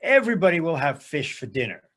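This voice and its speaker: A man's voice: on the high side, sing-song, fast, fairly bright tone. A man voicing a kindly, patient village rabbi with emphatic, declaiming speech in a folk tale. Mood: proud announcing.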